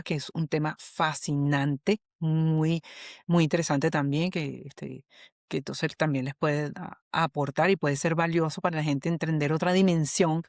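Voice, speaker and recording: Animated Venezuelan woman, very dry sound. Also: deep voice, no room sound. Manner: animated, repeats "¿ok?" often. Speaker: guest, human resources specialist. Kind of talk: conversational interview.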